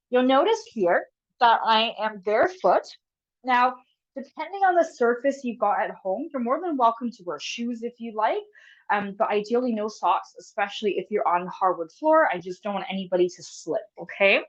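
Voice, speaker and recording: A woman's voice: fairly high pitch, expressive, normal speed. Woman w/ a stuffy cold running a zoom workout, upbeat and chatty, kinda dull lo-fi webcam sound. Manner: friendly, encouraging, chatty with fillers. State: has a cold, sniffly. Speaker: exercise instructor. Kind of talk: live online fitness class instruction. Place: home room, video call.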